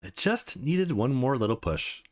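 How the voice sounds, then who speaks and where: Anxious, guarded young woman in a visual novel, played by a man, emotive, swinging pitch, easily exasperated. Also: very animated, a little slow. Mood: self-conscious.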